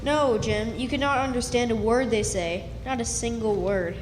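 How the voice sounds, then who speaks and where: A woman's voice: a little high, moderate pace, slight background noise, close to an everyday voice. A woman playing a plucky know-it-all country boy in a radio play, with a folksy backwoods delivery. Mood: explaining, sure. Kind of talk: stage radio drama acting. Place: live stage in a hall. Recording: echoey hall, music behind.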